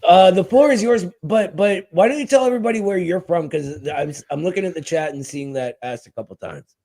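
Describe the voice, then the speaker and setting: Guy hosting a livestream, slightly high voice, super informal chatty style. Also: fairly quick, darkish tone. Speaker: live stream host. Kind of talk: casual chat.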